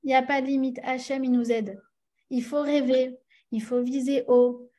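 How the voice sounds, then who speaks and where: A woman with a French accent leads a class in a casual, talkative way. Her voice sits a little high yet sounds warm and mellow. She stops often to check that her listeners follow. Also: steady tone, moderate pace. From France. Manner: chatty, many fillers and restarts, checks in with listeners. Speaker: religious teacher. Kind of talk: teaching a class.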